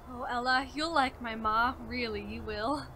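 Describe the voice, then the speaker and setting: A woman's voice: a little high, normal speed, pushed and bright, a little hiss or hum. A woman playing an eager, naive young husband with a folksy, coaxing manner in a radio drama. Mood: eager, reassuring.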